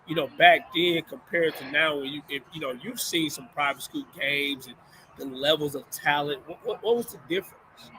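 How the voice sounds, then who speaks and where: A man with a fairly high, bright, forceful voice hosts the chat, circling his questions with lots of fillers. Light background noise hums underneath. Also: moderate pace, rough recording. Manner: loose, repeats "you know what I'm saying". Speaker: show host and coach. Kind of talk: casual interview questions.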